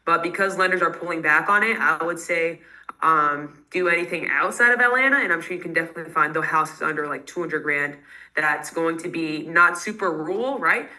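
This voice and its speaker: Woman investor fielding call-in questions: bright, slightly low voice, casual, filler-heavy. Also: poor audio. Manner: fast, casual advice with many fillers. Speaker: real estate investor answering questions. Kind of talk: live Q&A call.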